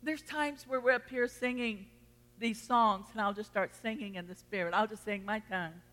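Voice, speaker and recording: A woman's voice: mid-range voice, normal speed, a bit bright. A woman preacher with a Texan accent delivering a sermon to a congregation, emphatic, repeating phrases and posing rhetorical questions. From Texas. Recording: reverberant hall.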